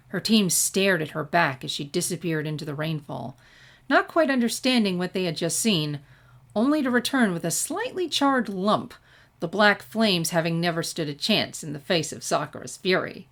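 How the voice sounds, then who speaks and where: A woman's voice: lowish voice, creaky. A woman reading a scripted story aloud as an audiobook-style narrator. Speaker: audiobook-style reader of fan fiction.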